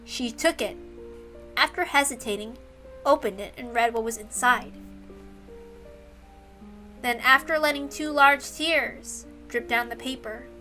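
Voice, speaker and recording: Female story reader: high-pitched, bright, expressive narration over soft background music. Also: unhurried, pauses often.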